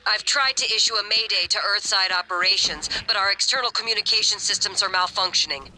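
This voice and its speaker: A woman's voice: medium pitch, fairly quick, pushed and bright, a little hiss or hum, rough recording. A woman playing a grave, authoritative space station captain in a video game, speaking in a terse, formal, commanding way. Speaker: ship's captain.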